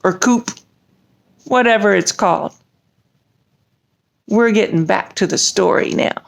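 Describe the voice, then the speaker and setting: A woman storyteller talking in an animated way to young children during a bedtime storytime with puppet characters. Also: medium pitch, measured pace, vocal fry.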